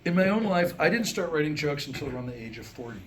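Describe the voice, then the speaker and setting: Higher-voiced man telling jokes, noisy live recording. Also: big pitch swings. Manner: storytelling, deliberate pauses, acting out dialogue in jokes. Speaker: humorist and author. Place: indoor room, live staged reading.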